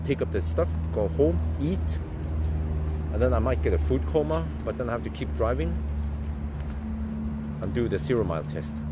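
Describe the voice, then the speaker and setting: A deep-voiced man rambles on as host of a live stream, musing aloud and circling back on his own words. His voice sits low and relaxed. Steady car and street noise hums behind him. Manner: rambling, chatty, thinks aloud with fillers and restarts. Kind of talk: casual live-stream chat.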